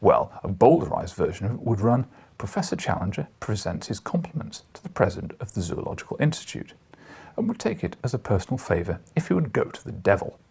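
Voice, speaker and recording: A dry, disdainful man of science, put on by a male audiobook reader, gossips scornfully. His voice is gravelly and animated, with emphatic turns. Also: fairly low pitch, fast talker, exaggerated voice. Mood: scornful, amused. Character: thin, dry, leathery scientific journalist, disdainful.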